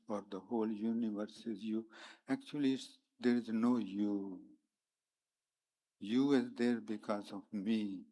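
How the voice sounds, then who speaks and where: A man, native in Punjabi, speaking at a conference with a lowish voice. He talks slowly and calmly, letting long pauses sit between short phrases. His manner is gentle and reflective. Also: poor audio. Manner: slow, meditative, pauses often between phrases. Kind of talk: spiritual talk mixed with sung verse. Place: conference hall.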